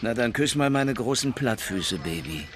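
An actor playing a cocky, mocking, tough police detective in a radio crime drama. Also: male voice, medium pitch, big pitch swings, normal speed, a little hiss or hum, natural voice.

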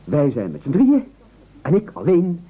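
A man's voice: on the high side, wildly swooping pitch, unhurried, husky, heavily acted. An actor playing a meek, eager man who nobly offers himself up as a sacrifice, stammering and speechifying self-importantly in an absurdist radio play. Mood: pompous, oratorical. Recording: muffled old radio broadcast recording.

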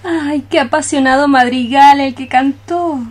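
A young cockroach maiden in a radio drama, tender and melodramatic. Her voice is very high, with a sighing, plaintive delivery. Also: unhurried. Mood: wistful. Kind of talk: radio theatre acting.

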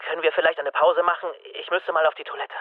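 An actor in a radio play playing a grumbling, fumbling astronaut, testy and hesitant. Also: male voice, fairly high pitch, level tone, fast talker, no room sound, narrow, tinny audio, close to an everyday voice. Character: grumbling, fumbling male astronaut in a film studio.

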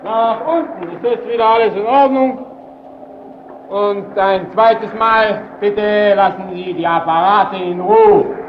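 An actor playing an earnest, tense lighthouse engineer in an old radio drama, with a deliberate, self-coaxing delivery. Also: male voice, high voice. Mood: firm. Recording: dull, noisy vintage radio recording.

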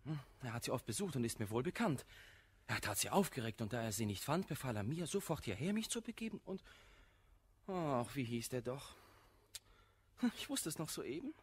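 An actor playing a flustered, scatterbrained and timid manservant in a classical verse radio comedy, dithering and hesitant. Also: male voice, mid-range voice, very animated, moderate pace, slightly rough. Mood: rambling, uneasy.

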